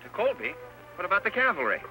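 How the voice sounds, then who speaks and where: An American actor playing a blustery, folksy Western sheriff in an old-time radio drama. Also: male voice, high voice, wildly swooping pitch, quick pace, sharp tone, full character voice, low-quality recording. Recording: old radio broadcast with music behind.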